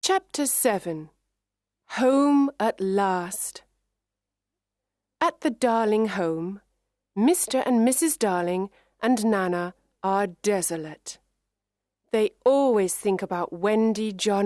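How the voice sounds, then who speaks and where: A woman reads a children's story for learners. Her pace is slow and deliberate, each word carefully enunciated, in a medium pitch with a very dry sound. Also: darkish tone, dry recording. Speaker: narrator. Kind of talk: scripted children's story narration for English learners.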